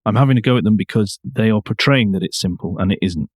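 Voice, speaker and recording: Low-voiced man, dry studio sound. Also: quick pace, slightly rough, dry recording. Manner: opinionated, emphatic. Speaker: podcast co-host. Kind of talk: casual discussion of car news.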